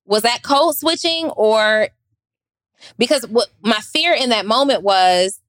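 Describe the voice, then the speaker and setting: Squeaky, raspy-voiced woman. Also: slow pace, no echo, studio quality. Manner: animated, acts out voices in quotes. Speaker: business owner and host. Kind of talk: casual panel chat.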